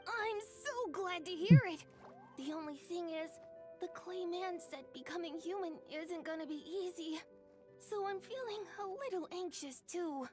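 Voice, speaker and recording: A woman's voice: extremely high pitch, measured pace, over music. A woman voicing a shy, hopeful young dragon in a game, with a sweet, childlike manner. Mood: anxious.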